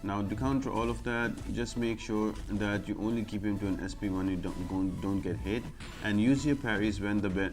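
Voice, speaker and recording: A man giving casual, instructional commentary as he walks viewers through a video game. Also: sits low. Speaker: game guide video creator. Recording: voice-over with music behind. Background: clear music.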